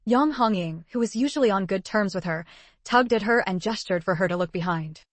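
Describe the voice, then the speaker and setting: Measured woman narrating a novel. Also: medium pitch, animated, no echo. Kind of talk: scripted audiobook narration.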